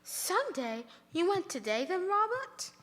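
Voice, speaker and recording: A woman's voice: extremely high pitch, very animated, a little slow, fairly bright tone, heavily acted. An actress playing a careworn, tender mother and wife in mourning, in earnest live radio theater. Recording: reverberant hall.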